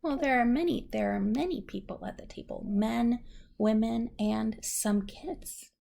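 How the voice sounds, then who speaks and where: A woman's voice: fairly high pitch, unhurried, vocal fry, warm tone. A woman reading a story aloud to a young child, patiently explaining words in simple terms. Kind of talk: slow read-aloud storytelling with questions to a child.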